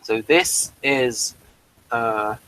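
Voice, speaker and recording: A man hosting a casual review discussion, speaking in a halting, hesitant way. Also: mid-range voice, a bit bright, poor audio. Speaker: review show host.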